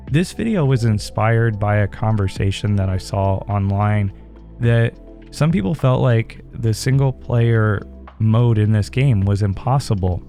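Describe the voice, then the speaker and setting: Mellow-voiced man hosting a gaming vlog. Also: lowish voice. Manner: relaxed, rambling, with fillers and restarts. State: recovering from a head cold, voice still strained. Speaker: gaming channel host. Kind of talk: casual gameplay commentary.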